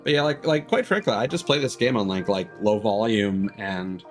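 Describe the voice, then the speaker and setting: A man's voice: lowish voice, moderate pace, soft background music. A man giving casual, rambling and candid live-stream commentary as a game streamer, with a dryly critical manner.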